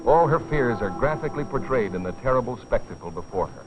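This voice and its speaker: A man's voice: medium pitch, sing-song, fast. A man delivering dramatic, solemn scripted narration for an educational film, with rhetorical weight. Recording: dull, dated film soundtrack with music.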